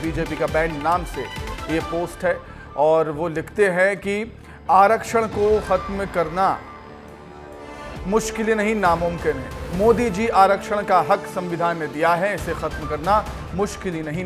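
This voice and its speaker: A man anchoring live television news in an emphatic way, often repeating phrases for stress. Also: sits high.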